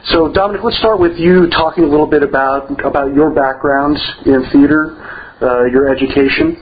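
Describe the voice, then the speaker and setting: A man interviewing a guest, asking easygoing, halting questions. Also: fairly high pitch, lo-fi sound.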